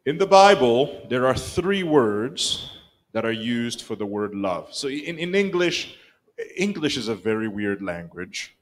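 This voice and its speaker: A middle-aged man with a Rwandan accent preaching a sermon in a church sanctuary, telling the story with lively acted-out voices. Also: mid-range voice, a little slow. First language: Kinyarwanda. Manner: animated storytelling, acts out quoted lines. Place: church sanctuary, mid-sized room. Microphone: pulpit microphone, filmed by a far camera.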